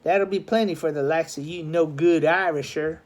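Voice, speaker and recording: Man acting a rough young street gang fighter. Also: on the high side, normal speed, lightly acted. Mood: scornful. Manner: scornful, slangy.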